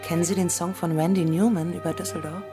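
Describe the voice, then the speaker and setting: A woman playing a composed deaconess in a radio play, forthright in manner. Her voice sits fairly low, with music clearly audible behind it. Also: steady tone, fast, close to an everyday voice. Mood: calm, friendly. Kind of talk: radio drama acting.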